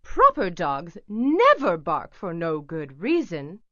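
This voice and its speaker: A woman voicing a prim, proper mother who scolds in a formal, shrill way. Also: wildly swooping pitch, slow, fairly bright tone, no echo, heavily acted. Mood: scolding. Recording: slightly dull dry recording.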